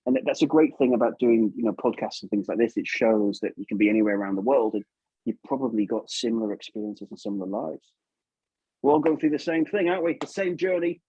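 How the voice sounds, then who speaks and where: Male, English accent, soft dark tone with a husky edge, chatty guest delivery full of tag questions. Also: medium pitch, fast talker, no echo, lo-fi sound. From England. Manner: informal, chatty, seeks agreement with tag questions. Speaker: guest puppeteer. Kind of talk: casual interview chat.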